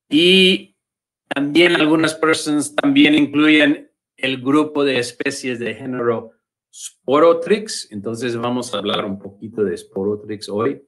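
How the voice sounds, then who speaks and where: A man's voice: medium pitch, a bit bright, poor audio. A man with an American English accent, a native English speaker, giving a virtual conference lecture in Spanish, often repeating words as he searches for them. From United States. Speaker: invited lecturer. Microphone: computer mic on a video call.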